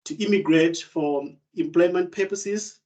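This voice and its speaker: A man's voice: high voice, a little slow, darkish tone. A young man from Zimbabwe, a student, presenting on a video call with many fillers and restarts. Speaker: student speaker. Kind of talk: online open day presentation.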